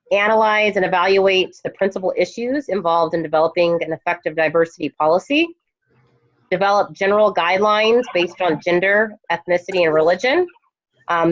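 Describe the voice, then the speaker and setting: A lowish-voiced woman lecturing an online class, her voice worn and hoarse as she talks into a headphone mic. She pauses with frequent ums between points. The recording sounds dull and lo-fi. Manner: hesitant, many ums. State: losing her voice. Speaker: instructor.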